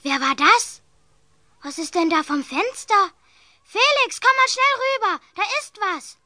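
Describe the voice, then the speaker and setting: High, bright, stubborn little girl. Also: big pitch swings, unhurried, no echo, clean studio sound, heavily acted. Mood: excited, amazed. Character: young girl sick in bed, curious and stubborn. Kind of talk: children's audio play acting.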